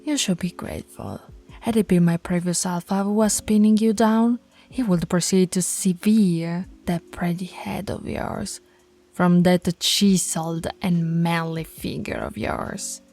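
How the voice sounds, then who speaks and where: A woman voicing a dominant, stern alpha werewolf pack leader in an ASMR roleplay, scolding and taunting in a commanding, deliberate way. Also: lowish voice, vocal fry, dark tone, soft background music. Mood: taunting, domineering, then menacing, reflective.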